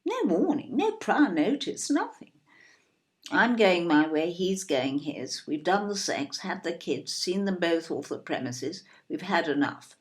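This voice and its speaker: Indignant older English wife, wildly swooping intonation. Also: female voice, on the low side, very animated, a little slow. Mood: aggrieved disbelief. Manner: emphatic, repetitive, tag questions. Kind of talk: audio play acting.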